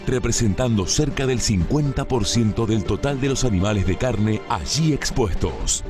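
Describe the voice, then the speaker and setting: A man reading a scripted promotional voice-over in a measured, steady way, listing facts and figures. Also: medium pitch. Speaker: promotional narrator. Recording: voice-over with music bed. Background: music.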